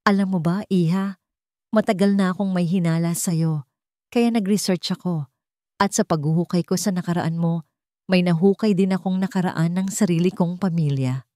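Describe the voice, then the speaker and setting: A woman voicing a sarcastic, taunting wealthy villainess in a deliberate, theatrical way for an audio drama story. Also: on the low side, dark tone, no echo, clean studio sound, close to an everyday voice. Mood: smug cruelty.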